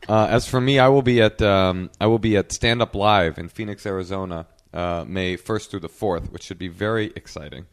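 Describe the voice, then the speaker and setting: A man's voice: sits low, moderate pace, hardly any pauses. Joking man co-hosting a podcast. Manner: loose, jokey, riffing. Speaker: podcast co-host. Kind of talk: casual banter, ad read. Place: podcast studio.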